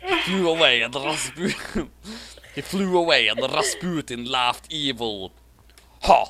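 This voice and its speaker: A man's voice: medium pitch, measured pace, sharp tone. A man reading fan fiction aloud for laughs, mock-dramatic and amused, breaking off to add his own comments.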